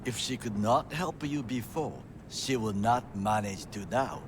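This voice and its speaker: An actor playing a stern, formal male corporate bodyguard who speaks in clipped, measured sentences and drops into quiet whispers. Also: medium pitch, expressive, close to an everyday voice. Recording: game audio with clear background noise.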